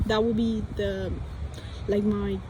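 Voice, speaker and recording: A woman's voice: mid-range voice, little pitch movement, slow, background noise. A young woman from Colombia with a Colombian Spanish accent, speaking English as a second language and thinking aloud in a hesitant vlog full of restarts and fillers. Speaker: vlogger.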